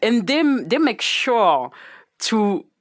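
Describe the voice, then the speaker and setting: A woman's voice: fairly high pitch, big pitch swings, slow. A woman with a thick French accent, a podcast guest speaking English as her second language, chatting casually and laughing often. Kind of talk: casual conversation.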